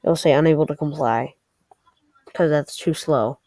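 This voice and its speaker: A woman's voice: sits low, moderate pace, warm tone, dry recording. A woman giving a casual game walkthrough, thinking aloud and a little hesitant as she explains. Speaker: presenter of a game tutorial. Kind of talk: casual screen-recorded game walkthrough commentary.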